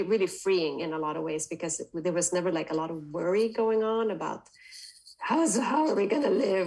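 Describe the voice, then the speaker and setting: A woman from Sweden, chatting as a guest on a podcast in a relaxed, rambling way. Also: mid-range voice, rough recording. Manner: relaxed, chatty, frequent fillers and laughs. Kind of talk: casual chat.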